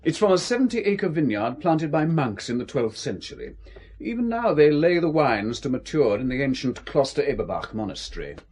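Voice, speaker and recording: An urbane spymaster in a radio thriller, with very swooping intonation and a husky edge. He is courteous and a little pompous, philosophising genially while staying quietly menacing. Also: medium pitch, sing-song, moderate pace. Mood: genial hosting. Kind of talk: radio drama acting.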